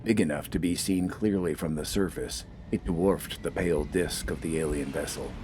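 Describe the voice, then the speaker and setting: A man's voice: a bass voice, moderate pace, darkish tone, a little hiss or hum. A man narrating scripted fiction in a dramatic storytelling style.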